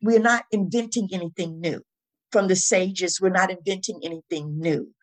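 A woman's voice: lowish voice, moderate pace. A woman being interviewed, talking in a reflective, conversational and motivational way, emphatic, with repetition and rhetorical questions. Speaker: interview guest. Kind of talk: conversational spiritual and motivational talk.

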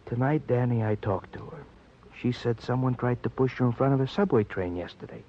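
An actor playing a grave, compassionate male doctor in an old radio drama, measured, with quaint turns of phrase. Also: mid-range voice, close to an everyday voice. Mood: grave, concerned. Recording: muffled old radio, faint noise.

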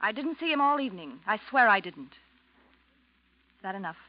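A woman's voice: medium pitch, sing-song, moderate pace, lightly acted. An actress playing a scheming, spurned young woman in a 1940s radio drama, controlled and pointed in her delivery. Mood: cool, defiant. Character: scheming, cool young femme fatale, spurned in love. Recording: muffled 1940s radio recording.

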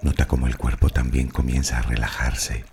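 Very deep, gravelly man guiding meditation. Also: a little hiss or hum. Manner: calm, slow, soothing delivery with long pauses. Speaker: meditation guide. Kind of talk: slow guided meditation with affirmations.

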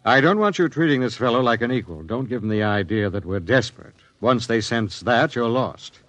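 An actor playing a stern expedition captain who narrates an old-time radio drama in a measured, authoritative way. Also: male voice, mid-range voice, lively intonation, a bit bright, no room sound, close to an everyday voice. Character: stern, commanding expedition captain recounting a grim tale. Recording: dull vintage radio recording.

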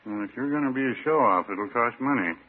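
An actor playing a droll, mild small-town American druggist in a classic radio comedy, talking in a dry, folksy way. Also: male voice, medium pitch, animated, fast talker, lightly acted. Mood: dry teasing. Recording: tinny, noisy old radio recording.

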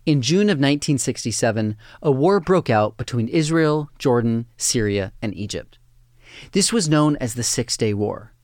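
A male narrator reads a documentary script in a steady, even voice. The sound is close and dry, with no room around it. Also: medium pitch, moderate pace, dry recording.

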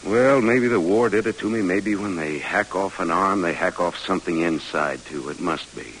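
A troubled American war veteran in an old radio play. His voice is low, and he speaks in an earnest, halting, self-reproaching way over the light hiss of a vintage broadcast. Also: a bit bright, poor audio. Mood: anguished, searching. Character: troubled one-armed war veteran turned geology professor. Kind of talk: 1940s radio drama acting.

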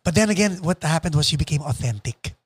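A Filipino-accented man hosts a podcast in a fairly high voice. He interviews casually and attentively, dropping in short affirmations. The recording is dry and free of room echo. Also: lively intonation, quick pace, husky. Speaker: podcast host.

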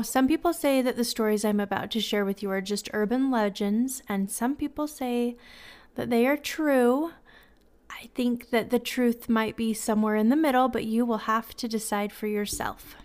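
A woman's voice: a little high, no room sound. A woman hosting a podcast, sounding congested from a cold, talking in a chatty, apologetic way. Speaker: podcast host. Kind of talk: casual podcast chat.

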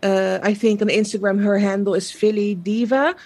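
A woman's voice: mid-range voice, fairly even intonation, normal speed, darkish tone. A woman from the Netherlands with a Dutch accent, a Dutch native speaker, chatting casually as an interview guest with many fillers and easy laughs. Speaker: guest, social media page creator.

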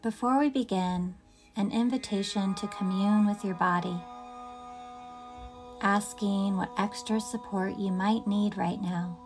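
A woman guides a relaxation practice at a very slow pace, leaving long pauses between phrases. Her voice is medium in pitch and her manner is gentle and soothing. Faint music plays behind her. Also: steady tone. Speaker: yoga nidra guide. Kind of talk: guided meditation narration.

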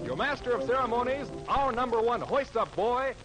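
A man's voice: very high-pitched, normal speed, low-quality recording. An American man announcing a 1940s radio variety show in showy, jive-talking patter. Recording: vintage radio, loud music behind. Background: loud music.

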